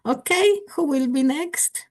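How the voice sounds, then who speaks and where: A woman's voice: high voice, lively intonation, slow pace, darkish tone. A woman hosting an online English class over a video call, friendly and encouraging, with some hesitant fillers.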